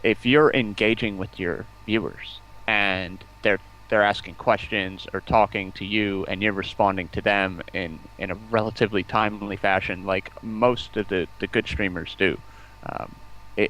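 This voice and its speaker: Low-voiced man, rambling and enthusiastic. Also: a bit bright, rough recording. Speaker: stream guest, community outreach representative. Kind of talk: casual interview talk about game streaming.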